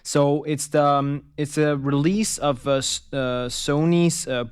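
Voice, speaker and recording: A man's voice: a little high, fairly even intonation, a little slow, smooth, no room sound, clean studio sound. A man co-hosting a marketing podcast, chatting casually and rambling with fillers and restarts. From Denmark. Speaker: podcast co-host. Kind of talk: casual chat.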